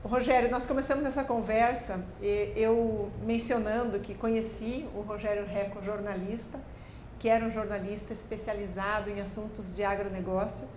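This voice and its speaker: A woman hosting an interview who asks measured, reflective questions. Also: mid-range voice, steady tone, a little mellow. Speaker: interview host. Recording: echoey room, faint background noise.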